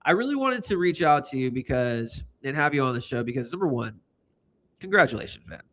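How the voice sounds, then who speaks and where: A man's voice: mid-range voice, big pitch swings, fairly quick, a bit bright, a little hiss or hum. A man hosting a casual livestream, talking in a hyped, rambling, slangy way. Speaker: host.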